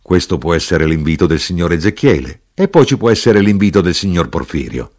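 A man's voice: low voice, animated, a little fast, a little mellow. A man voicing a jovial, hospitable tavern keeper with a piratical air in a storybook. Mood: genial. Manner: hearty, hospitable.

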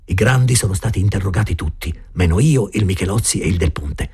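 A man voicing a hurried schoolboy conspirator. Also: low-pitched, dark tone, breathy edge, full character voice. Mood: urgent. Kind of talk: audiobook reading.

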